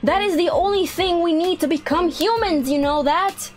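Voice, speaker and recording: A young woman hosting a gaming video, narrating and chatting through a roleplay in an exclamatory, animated way. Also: very high-pitched, moderate pace, faint music behind. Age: young adult. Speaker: YouTube gaming host.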